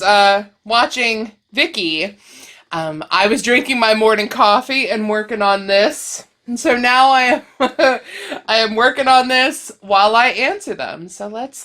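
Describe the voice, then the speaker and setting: A woman's voice: medium pitch, bright, forceful tone. A woman hosting a casual crochet chat video, her voice a little scratchy and tired at the end of a workday. She chats and laughs easily and sometimes loses her train of thought.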